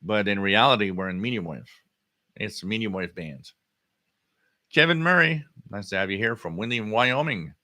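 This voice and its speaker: A man hosting a casual live hobby stream, answering chat comments in a halting way and often repeating his words. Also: lowish voice, animated, sharp tone, dry recording, clean studio sound. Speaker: livestream host.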